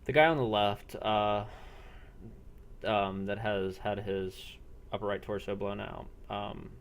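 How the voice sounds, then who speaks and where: A man narrating an improvised role-playing game as game master, halting and pausing between phrases. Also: fairly low pitch, steady tone, clear, resonant tone. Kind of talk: improvised narration of a tabletop-style role-play.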